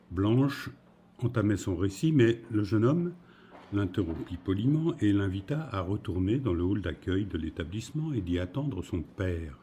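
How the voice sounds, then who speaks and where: Dark, low-voiced male storyteller. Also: a little hiss or hum. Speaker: narrator. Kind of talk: scripted story narration.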